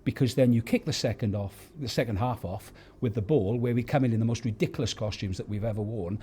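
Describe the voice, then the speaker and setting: A man's voice: lowish voice, fast, warm tone, slight background noise. A middle-aged or older man, a stage actor, giving chatty interview answers backstage. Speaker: pantomime actor, award winner. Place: backstage at an awards venue.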